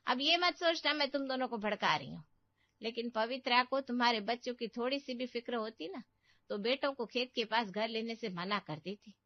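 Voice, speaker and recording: A woman acts out a meddling older aunt in a cartoon family tale. Her voice sits fairly high, and she nags, pities and boasts in turn. The sound is close and dry, slightly dull on top. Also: lively intonation, normal speed, dry recording, natural voice. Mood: reproachful. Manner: scolding, pitying, boastful. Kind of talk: dramatic Hindi cartoon story acting.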